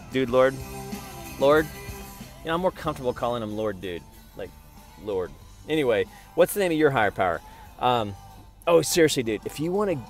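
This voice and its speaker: A man vlogging in a casual, rambling monologue, digressing often and talking in slangy, profane everyday speech. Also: fairly low pitch.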